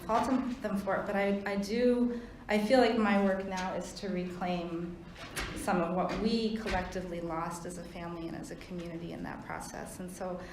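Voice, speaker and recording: A woman on a discussion panel, speaking thoughtfully and earnestly with frequent pauses and fillers; her first language was Thai. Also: fairly low pitch, roomy sound, slight background noise, low-quality recording. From United States. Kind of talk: reflective panel talk.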